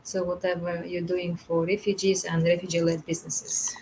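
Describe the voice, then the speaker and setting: A woman's voice: lowish voice, little pitch movement, normal speed, a little mellow, slight background noise, rough recording. A woman from Russia, a co-founder as interview guest, polite and grateful.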